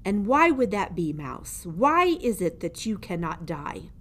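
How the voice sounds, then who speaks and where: A woman's voice: on the low side, big pitch swings, measured pace, a bit bright, full character voice. A woman voicing a grim, theatrical old male dungeon jailer in a storybook, ominous and emphatic. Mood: mocking. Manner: ominous, emphatic, refers to himself in third person. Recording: noticeable background noise.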